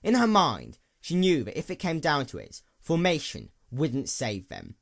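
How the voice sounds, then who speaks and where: Animated male story narrator. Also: fairly high pitch, a little slow, creaky. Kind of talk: scripted fiction narration.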